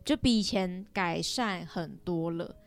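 A young woman with a Taiwanese accent speaks as a podcast guest. She is frank and casual, talking in an everyday chatty way. Soft music plays in the background. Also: fairly low pitch, unhurried. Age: young adult. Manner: blunt, frank. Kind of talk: casual chat.

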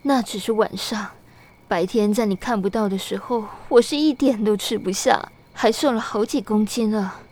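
A woman's voice: medium pitch, moderate pace, a little mellow, lightly acted. A woman acting an anxious, melancholy young wife shut away in a room, in an audio drama. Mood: dejected, pleading.

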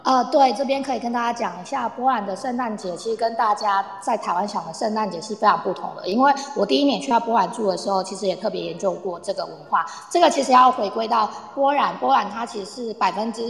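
A woman's voice: mid-range voice, fast, gravelly, few pauses, fairly bright tone. A woman giving an informal, explanatory talk, addressing her listeners directly like a teacher. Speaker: guest speaker explaining Polish culture. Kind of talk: informal explanatory talk to a live online audience. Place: live online audio room, reverberant sound. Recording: echoey, long reverb.

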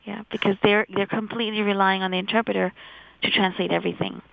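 A woman's voice: mid-range voice, steady tone, quick pace, vocal fry. A middle-aged woman from India with an American-leaning English accent, chatting as an interview guest and telling stories with enthusiasm. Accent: American-leaning English picked up in Taiwan. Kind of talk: casual interview chat. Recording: dull lo-fi sound.

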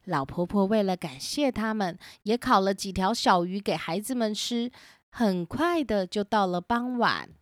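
A woman narrating a children's story as host in a gentle, clear storytelling manner, recorded with simple home equipment. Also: mid-range voice, unhurried, no room sound, studio quality. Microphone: simple home recording setup.

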